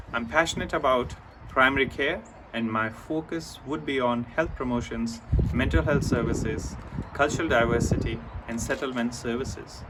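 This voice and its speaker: A man's voice: mid-range voice, a bit bright, slight background noise. Man from India, heard from a distance. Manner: measured, lists points one by one. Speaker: new board member. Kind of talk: prepared self-introduction.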